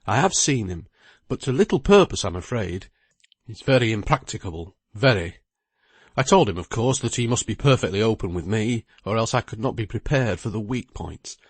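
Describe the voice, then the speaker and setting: A man's voice: lowish voice, lively intonation, husky, dry recording. A man voicing a busy middle-aged lawyer who speaks in a precise, emphatic way. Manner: precise, emphatic, talks quickly.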